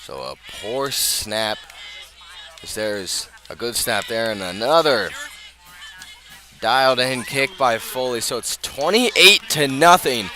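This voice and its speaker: An American man working as a radio play-by-play announcer, commentating live on a football game, measured between plays and swelling with the action. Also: medium pitch, expressive, music in the background.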